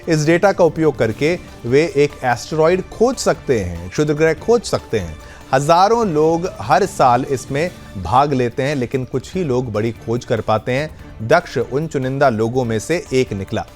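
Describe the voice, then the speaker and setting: A man anchoring television news, explaining stories conversationally and often rephrasing points for viewers. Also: medium pitch. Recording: music bed under the voice. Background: background music.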